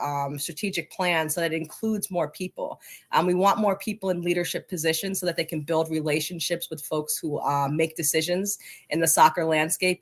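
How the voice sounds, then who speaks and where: A woman speaking as an interview guest, answering conversationally in an enthusiastic, encouraging manner. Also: low voice. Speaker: interview guest, coaches' association leader.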